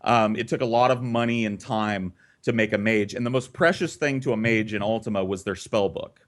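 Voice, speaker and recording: A man telling a story casually and comedically, swearing freely and acting out both sides of conversations. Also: fairly low pitch. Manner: profane, animated re-enacting of conversations. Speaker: storyteller and host.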